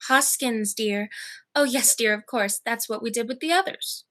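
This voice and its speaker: Young woman playing a high-voiced, placid elderly aunt. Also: normal speed, a bit bright, natural voice. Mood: placid, matter-of-fact. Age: teenager. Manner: genteel, placid. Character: mild, cheerful elderly aunt, serenely matter-of-fact. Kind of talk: high school radio play acting.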